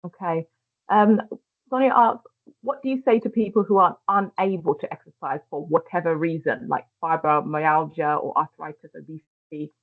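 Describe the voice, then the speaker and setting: A woman hosts a webinar and reads out audience questions in a brisk, upbeat way. Her voice comes through thin, phone-like video-call audio. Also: deep voice. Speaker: webinar host.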